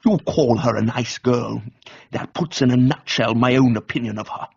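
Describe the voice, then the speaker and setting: A man voicing a blunt, intense young political agitator with a low, guttural voice in a dramatised reading. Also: normal speed, gravelly, darkish tone, breathy edge, highs cut off. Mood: bitter. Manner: blunt, clipped, emotional. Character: fiery gruff intense young left-wing male agitator.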